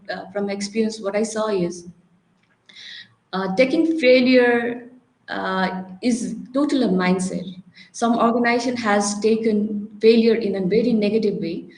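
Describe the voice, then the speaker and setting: Female panelist, Nepali accent, medium pitch, improvised explanatory talk with frequent rephrasing, echoey room recording. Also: a little mellow. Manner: repeats and rephrases, often checks with "right?". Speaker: panelist, HR professional and career counselor.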